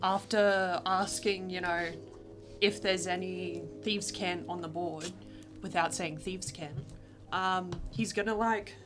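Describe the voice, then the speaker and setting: A woman casually narrating her character at a game table, feeling her way through the telling in a halting style. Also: lowish voice, fairly even intonation. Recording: music behind the voice.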